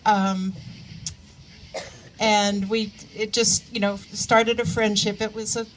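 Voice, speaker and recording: A woman in her sixties giving a memorial tribute to a coworker, speaking haltingly with many pauses and fillers. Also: medium pitch, little pitch movement, slight background noise, poor audio. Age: 60s. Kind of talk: memorial remarks.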